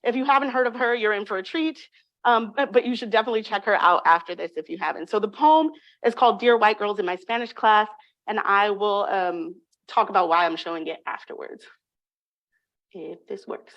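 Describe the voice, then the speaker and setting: A woman lecturing on linguistics in a measured, articulate way, reading prepared remarks with emphatic phrasing. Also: mid-range voice, muffled recording.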